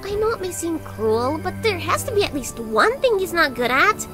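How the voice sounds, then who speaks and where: Woman acting a high, bubbly, dramatic young woman. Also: sing-song, normal speed, over music. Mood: teasing, breezy. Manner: exclamatory, playful, complaining. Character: bubbly, dramatic, cheeky young woman, a trainee who loves comfort. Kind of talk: visual novel character dialogue.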